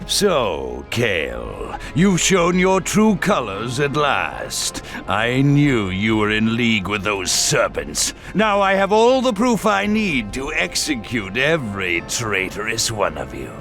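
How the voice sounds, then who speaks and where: An actor playing an arrogant, spiteful human commander in a fantasy game, pompous, sneering and theatrical. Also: male voice, medium pitch, huge pitch swings, slow, hardly any pauses, a bit bright, heavily acted. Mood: gloating, contemptuous. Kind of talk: dramatic fantasy game voice acting. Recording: background music under the voice.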